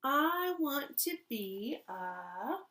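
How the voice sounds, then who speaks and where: A woman voicing a restless young male bunny from a storybook, speaking in emphatic, drawn-out declarations. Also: sits high, sing-song, sharp tone, echoey room, full character voice. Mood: insistent, yearning. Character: restless young male bunny, discontented, wishing to be other animals.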